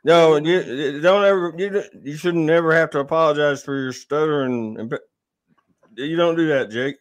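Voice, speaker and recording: A man's voice: a little high, normal speed. A man chatting casually and bluntly, swearing and often repeating his words. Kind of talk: casual chat.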